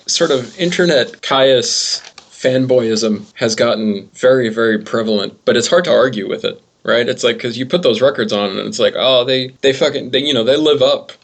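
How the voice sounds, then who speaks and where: A man's voice: medium pitch, moderate pace, few pauses. A man, chatting casually and enthusiastically as an interview guest, rambling and swearing now and then. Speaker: music blogger, interview guest.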